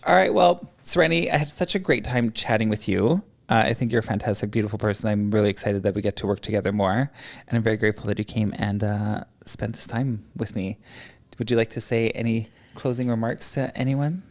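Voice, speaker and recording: Gravelly-voiced teasing woman host. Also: very deep voice, a little fast, a little mellow. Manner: teasing, jokey, laughs often. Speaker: podcast host. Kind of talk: casual interview chat.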